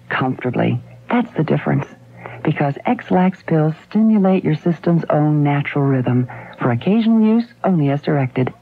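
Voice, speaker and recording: A man's voice: fairly high pitch, breathy edge. A man reading a scripted radio commercial as an announcer. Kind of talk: scripted ad read. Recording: tinny old radio broadcast.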